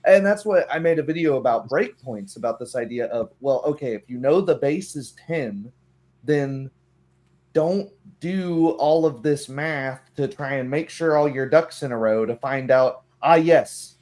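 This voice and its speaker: A man's voice: mid-range voice, animated, unhurried, a little mellow. A man with a mid-range voice talks as a game master in a loose, casual chat. He rambles along and slips into imagined remarks to illustrate what he means. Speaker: game master of a tabletop roleplaying group. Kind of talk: casual chat about tabletop game rules.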